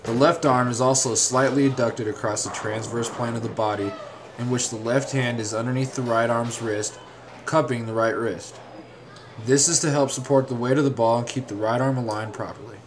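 A man reading a scripted instructional narration in a measured, formal, step-by-step way. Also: mid-range voice, steady tone, background noise.

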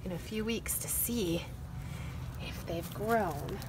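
A middle-aged woman speaking as a preschool teacher, deliberately and expressively addressing young children in a simple read-aloud, instructional style. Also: fairly low pitch, background noise.

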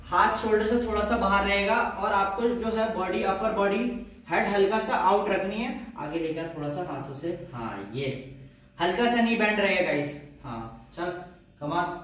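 A man's voice: sits high, a little slow, a bit bright. An Indian man in his twenties coaching a workout demonstration, encouraging, with short repeated commands. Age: 20s. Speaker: fitness trainer and presenter. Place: indoor gym. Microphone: camera a few metres away. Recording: echoey room, distant mic, faint noise.

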